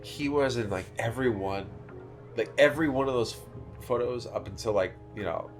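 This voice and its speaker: A man's voice: medium pitch, normal speed, faint music behind. A man with a nasal voice telling a rambling, filler-heavy story on a podcast. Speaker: podcast host. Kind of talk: casual chat.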